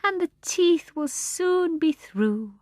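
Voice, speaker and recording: A woman's voice: high voice, big pitch swings, moderate pace, no echo, clean studio sound, voice pushed up. A woman voicing a proud, doting mother who coos in a sing-song way.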